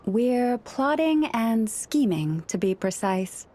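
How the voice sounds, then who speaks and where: A woman playing a gentle, composed young woman in a video game, with measured, articulate and gently wry delivery. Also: fairly high pitch, big pitch swings, exaggerated voice. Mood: dry, wry. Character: gentle, composed young woman who understands animal speech.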